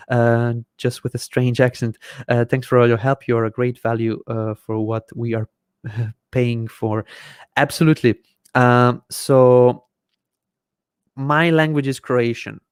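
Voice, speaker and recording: Smooth-voiced man hosting a live Q&A. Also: medium pitch, level tone, a little slow, no room sound, clean studio sound. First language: Hungarian. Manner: explains step by step while clicking through software. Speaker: host answering viewer questions. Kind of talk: live Q&A with screen-share demonstration. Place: small indoor room at a desk. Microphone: desk microphone in front of face.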